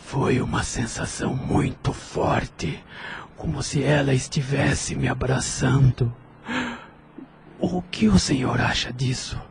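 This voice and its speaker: A man's voice: mid-range voice, slow pace, vocal fry, noisy background. A Brazilian man playing a pensive, sincere widower in an audio drama, with a wistful manner.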